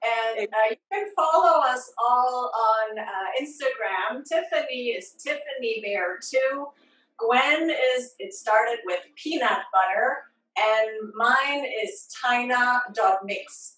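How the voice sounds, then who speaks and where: A woman in her forties hosting a cooking class with an animated, enthusiastic presenting manner. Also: on the high side. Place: home kitchen. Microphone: device mic a few feet away. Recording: echoey kitchen, distant mic.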